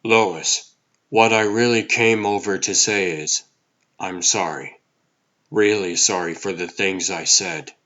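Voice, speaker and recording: A man's voice: deep voice, takes it slowly, pushed and bright, muffled recording, exaggerated voice. A man playing a mild, awkward, apologetic reporter with a hesitant manner in a comic book dub.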